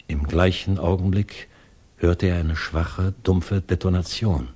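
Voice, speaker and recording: A man acting as the grave narrator of a 1960s German radio drama, measured and solemn, with a husky voice. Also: deep voice, dark tone. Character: grave male storyteller recounting a grim tale.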